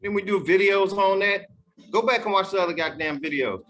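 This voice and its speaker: A man's voice: high-pitched, brisk, fairly bright tone. A man with a blunt, joking and profane way of talking in a casual group discussion. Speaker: podcast council member.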